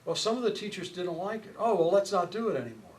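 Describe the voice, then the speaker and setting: A man in his sixties with an American accent, a lecturer thinking aloud in halting phrases as he answers seminar questions. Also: high voice, big pitch swings, darkish tone. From Chicago, United States. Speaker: lecturer, education researcher. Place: seminar room, at a wooden podium. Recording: noticeable background room noise.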